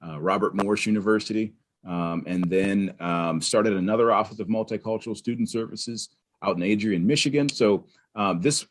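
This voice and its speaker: A man from Pittsburgh, a podcast guest, telling his story in an animated, conversational way with frequent restarts. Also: fairly low pitch. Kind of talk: conversational interview.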